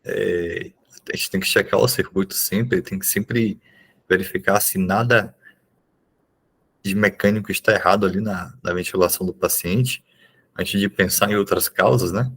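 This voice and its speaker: A young man from Bahia, Brazil, speaks with a Bahian accent and a husky, creaky voice. He teaches in an informal exam-review style, explaining step by step. His delivery halts often and checks in with the listener. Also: low-pitched, fairly even intonation, fairly bright tone. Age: young adult. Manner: halting, explanatory, with checking tags. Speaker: neurology resident and exam prep teacher.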